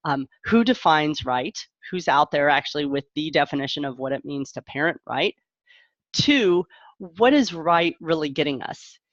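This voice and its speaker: A woman's voice: low voice, lively intonation, no room sound. Reflective middle-aged woman, dull muffled recording. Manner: reflective, candid, halting with self-interruptions. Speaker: parent coach. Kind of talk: casual live-streamed talk to viewers.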